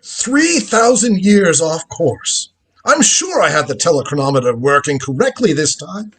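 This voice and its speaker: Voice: mid-range voice, sing-song, unhurried, a bit bright, husky, exaggerated voice. A performer playing an inquisitive old grandfather scientist who travels through time, musing and exclaiming theatrically in a script reading of a radio drama. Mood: musing, puzzled.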